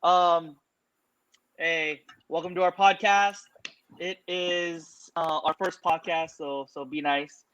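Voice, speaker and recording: A man's voice: fairly high pitch, fairly even intonation, slow, a bit bright, clean tone. A man in his thirties hosting a casual podcast, chatting loosely with fillers. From California. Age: 30s. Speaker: podcast host. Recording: dull lo-fi audio.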